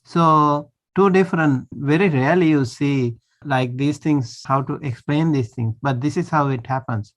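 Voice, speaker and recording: A man's voice: on the high side, moderate pace. A man, a monk, teaching a language class over a video call, patiently checking that students follow. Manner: patient, checks understanding often.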